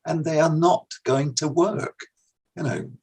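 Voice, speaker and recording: A man with a husky voice, reminiscing casually as a guest in an interview. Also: on the high side, fairly even intonation, a little slow, darkish tone.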